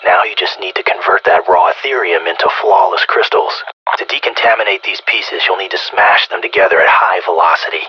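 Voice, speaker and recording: A smug, treacherous male scientist from a video game, speaks over a dull radio channel. His American voice is deep and heavily rasped. He sounds sardonic and condescending, pleased with his own cleverness. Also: fairly quick. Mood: intrigued, calculating. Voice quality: raspy, gravelly. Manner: condescending, sarcastic, self-congratulatory. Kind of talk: video game character voice lines. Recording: dull, band-limited radio-comms sound.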